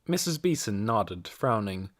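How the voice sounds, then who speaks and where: A man's voice: on the low side, very animated, measured pace. A man reading a story aloud as scripted audiobook narration. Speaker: audiobook reader.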